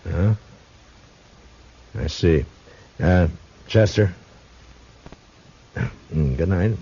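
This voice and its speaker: An American frontier marshal with quiet authority and dry wit. His voice is deep and mellow. It is a muffled vintage radio western. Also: drawn-out words, husky, exaggerated voice. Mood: wary. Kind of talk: old-time western radio acting and narration. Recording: muffled old radio recording.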